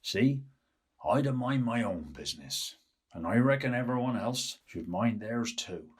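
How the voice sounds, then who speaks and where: A man's voice: mid-range voice, expressive, a little slow, husky. A man playing a surly old loner in a story reading, muttering curtly and dismissively. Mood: grumpy, dismissive.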